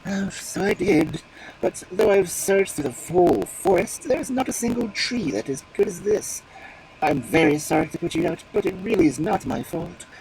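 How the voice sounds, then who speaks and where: A woman voicing a cunning male fox in a storybook reading, with a calm, coaxing and falsely polite manner. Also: on the low side, moderate pace, vocal fry, a crowd in the background, pitched above the performer's own voice, low-quality recording. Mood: falsely apologetic. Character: sly cunning male fox, smooth schemer.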